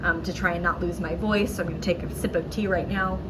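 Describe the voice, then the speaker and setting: A woman's voice: on the low side, steady tone, fast talker, very noisy. A woman reviewing candles at home in a chatty, rambling way, sounding a little nasal and sore-throated from a cold, with many "um"s. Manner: chatty, lots of "um"s, self-correcting. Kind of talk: casual product review vlog. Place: at home indoors.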